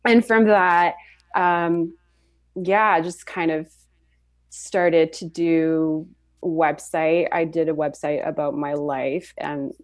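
A young woman from Switzerland, a podcast guest and graphic designer, talking in a relaxed storytelling way with frequent ums and soft laughs. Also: low-pitched, takes it slowly, no echo, studio quality. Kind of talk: casual interview chat.

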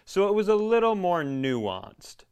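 A man's voice: high-pitched, big pitch swings, measured pace, darkish tone, smooth. A man co-hosting a comedy podcast with casual, crude, joking banter, often repeating phrases as he riffs. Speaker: podcast co-host.

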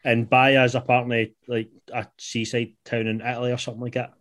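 A man's voice: mid-range voice, flat delivery, fast talker, a bit bright. A man in his late twenties with a Scottish accent, hosting a quiz in casual football podcast banter. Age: late 20s. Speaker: podcast producer and quiz host.

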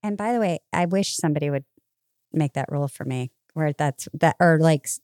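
A woman's voice: deep voice, brisk, a little mellow, no room sound, clean studio sound. A woman co-hosting a podcast in casual chat, with a blunt, emphatic way of talking. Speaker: podcast host.

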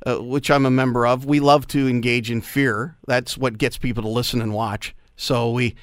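A man hosting a radio talk show in a studio, chatty and excitable, with lots of fillers. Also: mid-range voice, normal speed, no room sound. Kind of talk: casual radio chat.

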